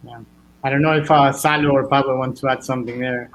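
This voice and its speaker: A man's voice: mid-range voice, steady tone, fast talker. Conversational male moderator. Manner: conversational, searching for words. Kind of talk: panel discussion.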